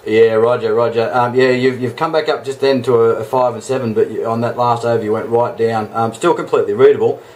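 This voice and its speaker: A man's voice: medium pitch, steady tone, a little fast, hardly any pauses, darkish tone, a little hiss or hum, rough recording. An Australian man chatting casually as an amateur radio operator, with a matey, laid-back way of talking. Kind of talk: casual two-way radio chat.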